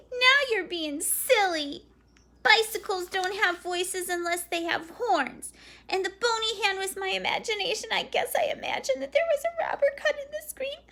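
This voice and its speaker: A woman voicing a frightened, excitable little girl who shrieks and pleads near tears. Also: unhurried, exaggerated voice. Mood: pleading. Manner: shrill, breathless, near tears.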